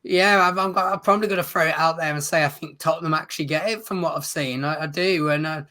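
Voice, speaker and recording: A man who has lost his voice, chatting casually as a football show co-host in an agreeable, measured way. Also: on the high side, steady tone, sharp tone. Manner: measured, agreeable, uses fillers like "um" and "you know". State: losing his voice.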